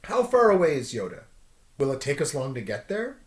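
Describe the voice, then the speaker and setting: Young adventurer, read by a male storyteller: eager, impatient, animated pitch, roomy recording. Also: fairly quick.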